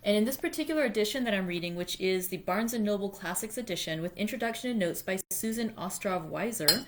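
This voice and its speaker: A woman's voice: mid-range voice, expressive, fast, noisy background. Chatty woman hosting a book read-aloud. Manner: informal, self-deprecating asides. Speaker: library read-aloud host. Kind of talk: chatty introduction, then literary audiobook-style reading.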